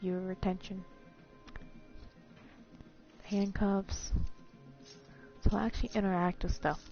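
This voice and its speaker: A woman's voice: medium pitch, little pitch movement, slow pace, mellow. Casting: female game streamer, mid-range voice, informal rambling chat, slightly dull sound with faint music. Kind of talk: casual live playthrough commentary.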